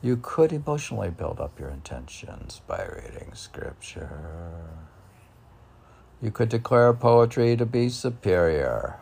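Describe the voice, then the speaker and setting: A man, a poet, reading his own work informally in a gravelly voice, musing and quizzical as if talking to himself. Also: sits low, sing-song, a little slow, darkish tone, slight background noise. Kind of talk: informal poetry reading with self-questioning asides.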